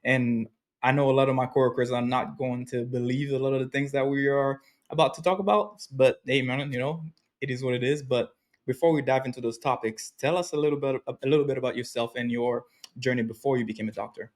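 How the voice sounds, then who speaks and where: A man's voice: mid-range voice, fairly even intonation, brisk. Chatty podcast host man in his thirties. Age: 30s. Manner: casual and chatty, laughs easily. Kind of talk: casual podcast interview.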